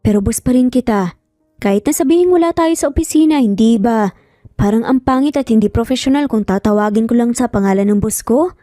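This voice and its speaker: A woman's voice: a little high, fairly quick, warm tone, dry recording, studio quality, faint music behind, lightly acted. A woman voicing a modest, proper young secretary and mother, polite and questioning, in a dramatised story. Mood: polite, insistent.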